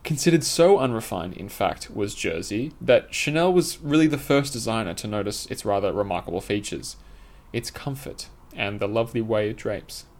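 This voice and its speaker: A man's voice: fairly low pitch, animated, normal speed, hardly any pauses, a little mellow. A man reading his own scripted essay aloud, in a formal, literary and opinionated manner.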